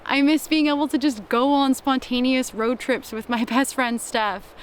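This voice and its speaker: A young woman narrates her travel vlog calmly and from the heart, with small laughs here and there. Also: high-pitched, a little hiss or hum. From Canada. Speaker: vlogger. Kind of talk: reflective voice-over narration. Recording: voice-over with music underneath.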